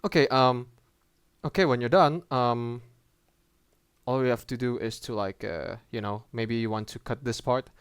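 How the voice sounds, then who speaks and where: A man presenting a casual app tutorial as a voice-over, with halting, informal and hesitant phrasing. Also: mid-range voice, clean tone, no room sound, clean studio sound.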